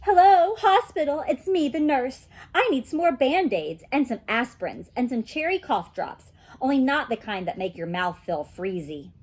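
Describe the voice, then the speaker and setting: An excitable little kindergarten girl , acted out by a woman reading a storybook, chattering with cheeky emphasis. The pitch leaps around in a lively way, with a slightly husky edge to the childlike delivery. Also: normal speed. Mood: playful, bossy. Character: excitable, mischievous little kindergarten girl telling her own adventures. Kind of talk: children's storybook read-aloud.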